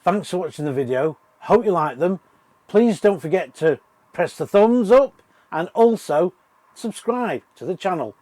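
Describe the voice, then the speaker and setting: A British man with a croaky voice from a cold, chatting casually with lots of ums while filming a walk-and-talk vlog in an exhibition hall foyer. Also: high-pitched, lively intonation, unhurried, darkish tone. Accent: British English. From United Kingdom. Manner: chatty, rambling, with many ums. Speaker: vlogger.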